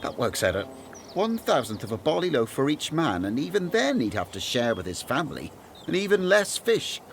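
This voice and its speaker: An actor playing a doubtful, weary disciple who was once a tax collector, speaking in an earnest, plaintive manner in a Bible radio drama. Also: male voice, mid-range voice, very animated, moderate pace, a little hiss or hum.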